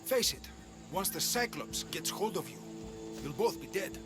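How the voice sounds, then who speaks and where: An actor playing an indignant Greek merchant in debt in a video game, pleading yet defiant. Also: male voice, sits high, animated, moderate pace, music in the background. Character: indignant male Greek merchant debtor, defiant but cowed. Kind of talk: cinematic video game acting.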